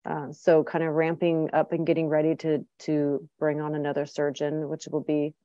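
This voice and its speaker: A woman's voice: low voice, completely flat delivery, moderate pace, dark tone, no echo. A middle-aged woman, a clinic director, answering interview questions in a chatty way with lots of ums and restarts. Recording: dull, dry lo-fi sound.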